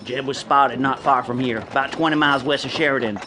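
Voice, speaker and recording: An actor playing a grizzled, joshing old stagecoach driver in a radio drama, with a folksy drawl. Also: male voice, mid-range voice, steady tone, a little fast, noisy background, natural voice.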